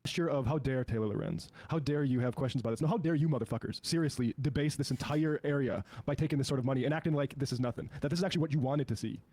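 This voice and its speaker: A man's voice: medium pitch, rapid-fire, few pauses, dark tone, dry recording. A man giving opinionated panel commentary on a political talk show, indignant and emphatic. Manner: indignant, emphatic, sometimes profane.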